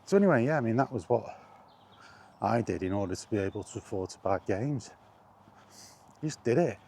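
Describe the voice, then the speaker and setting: A man's voice: fairly low pitch, expressive, fairly quick, vocal fry, darkish tone, a little hiss or hum. A middle-aged British man casually rambling through personal memories, as in an informal vlog. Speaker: vlogger.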